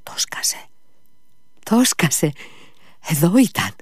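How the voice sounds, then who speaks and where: A man voices a feverish, agitated brother who rambles on in a strained, rising voice that slips into high whispers. The sound is dry and close, with no room around it. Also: fairly bright tone, slightly rough, no room sound.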